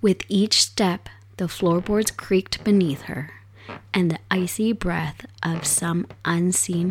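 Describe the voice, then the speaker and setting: Hoarse woman host with a cold, slow and low-pitched, soft storyteller at a home desk. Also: a little hiss or hum. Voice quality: raspy. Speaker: podcast host. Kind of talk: casual chat and storytelling. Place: home desk set up for streaming.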